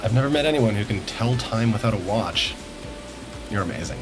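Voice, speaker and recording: A man playing an excited, encouraging teenage boy at the beach in a film script table read. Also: lowish voice, a little fast, over music, natural voice. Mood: amazed.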